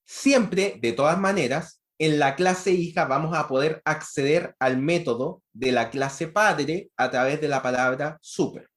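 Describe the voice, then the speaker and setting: A man's voice: a little high, a little slow, hardly any pauses. A man giving an online university lecture on programming, explaining step by step and checking in with frequent rhetorical questions.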